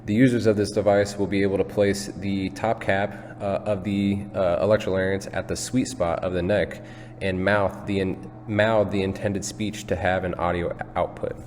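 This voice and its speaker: A young man with a fairly low voice presents a student project. The recording has long reverb and a faint hum behind him. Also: flat delivery, normal speed, darkish tone. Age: young adult. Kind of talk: prepared presentation and product demonstration. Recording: hollow hall reverb, background hum.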